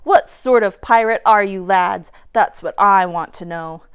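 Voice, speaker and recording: A bossy male pirate captain from a children's storybook, acted out by a woman, blustering at full volume. The voice is clear and commanding, with shouted orders. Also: medium pitch, measured pace, voice pushed down, natural voice. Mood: angry, rallying. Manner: loud, shouted, commanding delivery.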